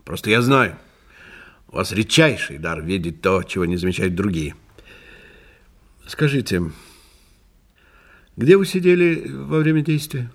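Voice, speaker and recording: An actor in a radio play voicing a genial, shrewd police inspector, chatty and avuncular, with musing hums and repeated words. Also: male voice, fairly low pitch, big pitch swings, unhurried, long pauses, heavily acted. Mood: musing, inquisitive.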